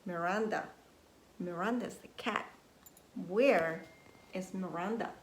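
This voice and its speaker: A woman nursery teacher reading a story aloud to young children in an expressive, deliberate way, prompting them with questions. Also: fairly low pitch. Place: indoors at home. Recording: roomy echo, faint background noise, home recording.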